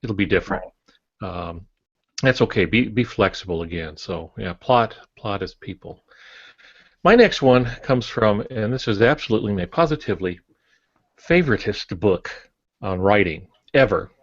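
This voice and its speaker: A man's voice: on the low side, lively intonation, normal speed, no room sound, dull audio. An older man co-hosting a podcast, talking casually and giving advice like a teacher in a panel discussion. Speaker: podcast co-host and writing teacher.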